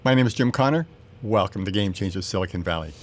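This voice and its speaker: A man hosting a talk show, interviewing a guest in a brisk, conversational way. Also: on the low side, animated, very noisy.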